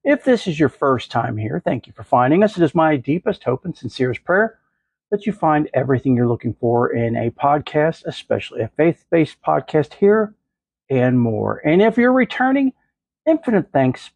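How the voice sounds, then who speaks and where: Congested, gravelly man hosting a faith podcast. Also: mid-range voice, darkish tone, dry recording, dull audio. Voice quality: nasal. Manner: earnest, effusive, jokes with himself. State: congested from the flu. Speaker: podcast host. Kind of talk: faith podcast chat and reading aloud.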